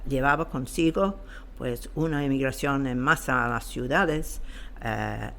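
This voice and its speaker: A man explaining history in an interview in a hesitant, halting way, often searching for words. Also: mid-range voice, gravelly, fairly bright tone.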